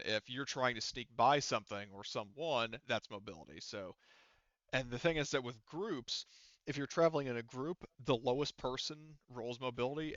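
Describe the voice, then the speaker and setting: A man's voice: medium pitch, a bit bright, no echo, muffled recording. A man working as a game master, casually explaining tabletop game rules in a conversational way peppered with swearing. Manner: profane, conversational.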